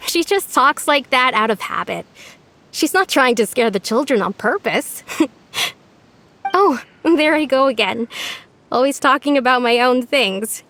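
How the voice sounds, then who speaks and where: Gentle high-voiced young village woman. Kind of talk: video game voice acting.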